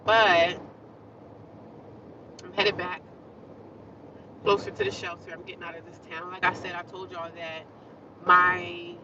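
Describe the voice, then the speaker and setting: A woman's voice: fairly low pitch, little pitch movement, unhurried, long pauses, bright, forceful tone, distant mic, dull audio, a little hiss or hum. A woman chatting casually to her viewers from inside a car, talking with a congested nose. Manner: chatty, talks straight to viewers. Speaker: vlogger. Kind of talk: casual rambling chat to viewers.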